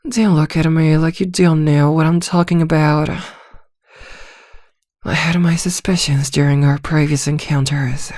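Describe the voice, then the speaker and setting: A woman voicing a seductive, dominant vampire in a soft, breathy, teasing ASMR roleplay, coaxing hypnotically close to the mic. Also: sits low, no echo. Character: seductive, dominant female vampire posing as a therapist. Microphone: close microphone, very dry.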